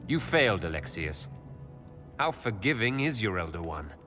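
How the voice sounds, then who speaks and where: Earnest hero leader, measured but lively pitch, faint music. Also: male voice. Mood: stern. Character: calm, earnest male leader of a fantasy order. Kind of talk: video game cutscene acting.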